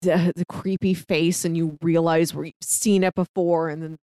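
A woman's voice: lowish voice, flat delivery, normal speed, dark tone, clear, resonant tone, no echo, clean studio sound. A woman chatting casually about a film as a podcast co-host.

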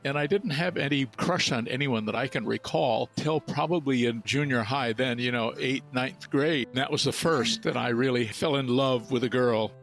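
A man recalls his past conversationally in a gravelly voice of medium pitch. Music plays faintly in the background. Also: moderate pace, hardly any pauses, a bit bright. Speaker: discussion participant. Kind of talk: casual personal reminiscence.

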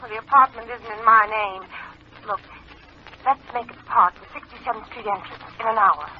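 A woman's voice: fairly high pitch, brisk. An actress playing a young, secretive, anxious woman on the run in a 1950s radio drama, hesitant and urgent through a telephone filter. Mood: urgent, guarded. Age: young adult. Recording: dull, noisy old radio phone call.

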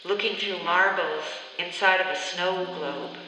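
Man, spoken-word fragments, unhurried delivery, piercing tone, big reverberant hall. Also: high-pitched, sharp tone, a little hiss or hum. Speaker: amateur drama workshop performer. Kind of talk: collaborative spoken-word piece in short phrases. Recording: very echoey hall, distant microphone.

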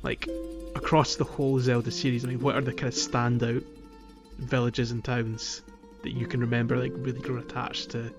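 A man's voice: mid-range voice, level tone, moderate pace, music in the background. A man hosting a casual gaming podcast, often searching for his words. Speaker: podcast host.

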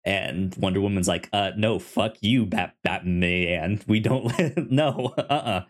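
A man co-hosting a podcast in casual chat about comics, speaking haltingly with stammered, repeated syllables and words. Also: sits low. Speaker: podcast co-host.

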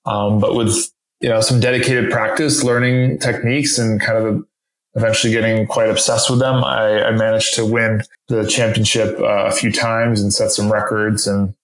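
A man whose first language is French answers interview questions in a low voice. His talk is halting and full of fillers and restarts. The recording carries a roomy echo. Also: level tone, a bit bright. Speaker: interview guest. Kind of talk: casual interview conversation.

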